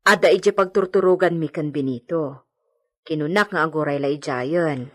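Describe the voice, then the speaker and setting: A woman's voice: low-pitched, moderate pace, a bit bright. A woman with an Ilocano accent playing a deferential, fretful household maid in a radio drama, given to muttering.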